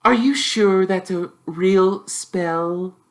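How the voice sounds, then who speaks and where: A man voicing a bossy, know-it-all young girl witch who chatters in a prim, brisk, self-assured way. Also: sits high, pitched above the performer's own voice. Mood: challenging.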